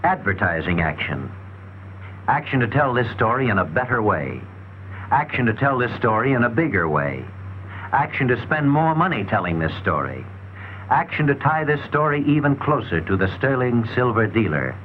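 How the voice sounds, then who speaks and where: Noisy vintage soundtrack, animated male promotional narrator. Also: mid-range voice, moderate pace. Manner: emphatic, rhetorical, salesmanlike delivery. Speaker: training film narrator. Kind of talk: scripted 1940s promotional film narration. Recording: dull, noisy 1940s film soundtrack.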